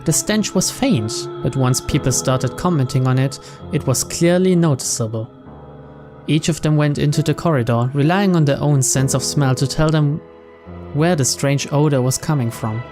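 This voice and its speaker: A man's voice: on the high side, normal speed. A man reading scripted narration aloud from a visual novel. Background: game music.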